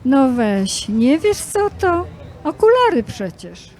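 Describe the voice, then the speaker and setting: A woman plays a practical, bossy goddess in an amateur radio drama. Her voice is high and very expressive, scolding yet measured in pace. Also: full character voice. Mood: curious, teasing. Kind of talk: amateur radio play acting.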